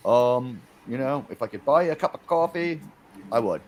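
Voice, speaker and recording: A man's voice: mid-range voice, lively intonation, moderate pace, darkish tone, rough recording. A man hosting a casual livestream chat, given to wry mock-formal pronouncements.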